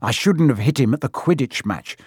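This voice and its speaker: A man's voice: mid-range voice, expressive, brisk, slightly rough, dry recording, studio quality. A man voicing an agitated, anxious schoolboy in a storybook reading. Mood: fretful. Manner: anxious, fretful.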